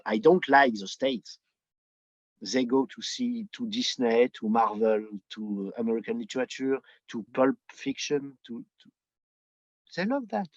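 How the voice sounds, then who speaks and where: A dry, slightly muffled recording carries a mid-pitched man with a French accent. He is a native French speaker giving opinions in conversational English, searching for words and repeating himself for emphasis. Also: no echo. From France. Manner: halting, emphatic repetition in non-native English. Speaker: podcast guest, book editor.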